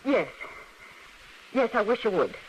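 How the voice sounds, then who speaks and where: A woman playing a frightened, evasive frontier wife with a halting, nervous delivery in an old radio western drama. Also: fairly high pitch, big pitch swings, muffled recording. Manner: halting, nervous, breaking off and repeating. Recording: noisy vintage radio recording.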